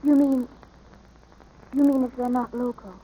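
A high-voiced young American farm boy in an old radio play. He is eager and a little anxious, speaking earnestly and hesitantly. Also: flat delivery, unhurried, phone-quality sound, a little hiss or hum. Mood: awed, earnest. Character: eager, anxious young ranch boy devoted to his filly. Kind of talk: 1940s radio drama acting. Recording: vintage radio drama recording.

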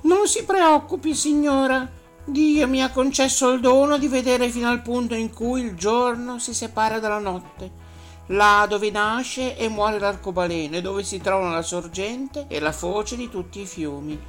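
A woman's voice: a little high, a little slow, faint music behind, voice pushed up, natural voice. A woman voicing a kind, stately eagle who answers in a solemn, reassuring way. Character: kind, grand old eagle.

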